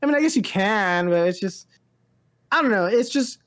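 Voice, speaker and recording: A woman's voice: mid-range voice, expressive, moderate pace. A woman co-hosting a pop-culture podcast in casual chat, rambling and hedging as she talks informally. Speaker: podcast co-host.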